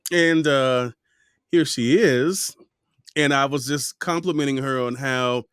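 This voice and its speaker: A man's voice: medium pitch, takes it slowly, fairly bright tone, smooth, no echo, clean studio sound. A man hosting a podcast, chatting casually and playfully with a guest over a remote video call, full of joking asides. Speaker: podcast host. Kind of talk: casual interview chat.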